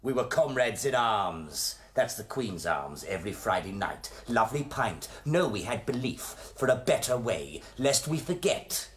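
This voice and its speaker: An actor playing a deranged, bitter lecturer in a radio play, declaiming grandly like a Shakespearean and breaking into outbursts. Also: male voice, on the low side, very animated, unhurried, few pauses. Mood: wry, bitter. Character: deranged theatrical male college lecturer turned gunman, manic and bitter.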